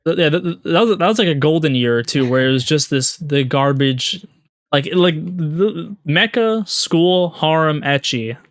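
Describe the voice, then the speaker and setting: A man with a somewhat high voice chats casually as a podcast co-host. His delivery is halting and repetitive, full of slangy, gushing fan talk, recorded close and dry. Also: expressive, no echo. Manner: halting, repeats words. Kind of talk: casual chat about anime.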